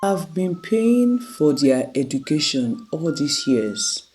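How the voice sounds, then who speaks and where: A storyteller voicing a worried, weary elderly grandmother in a family tale, speaking deliberately and anxiously. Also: mid-range voice, sing-song, a little mellow, a little hiss or hum.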